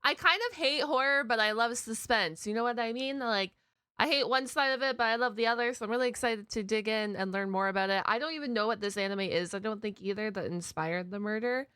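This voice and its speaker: A woman hosting a reaction video, giving casual, expressive commentary and thinking aloud as she goes. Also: on the high side, brisk, smooth, no echo. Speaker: YouTube reaction host.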